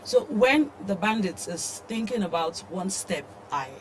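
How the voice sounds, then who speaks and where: A woman with a Nigerian accent co-hosting a conversational news discussion in halting, hesitant phrases. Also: mid-range voice, sing-song. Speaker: talk show co-host discussing the news. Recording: faint background noise.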